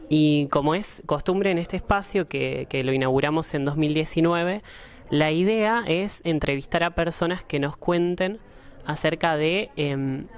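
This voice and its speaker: A woman hosting an interview, introducing the show and the guest in a halting way with frequent fillers. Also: sits low. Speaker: interview host.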